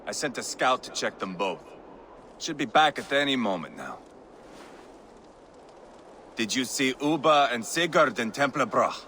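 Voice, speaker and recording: An actor playing a brash, bloodthirsty Viking warlord in a video game, with a mocking, theatrical manner. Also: male voice, mid-range voice, sing-song, unhurried, pauses often, pushed and bright, a little hiss or hum. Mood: impatient, scornful. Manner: sardonic, theatrical. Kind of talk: video game cutscene acting.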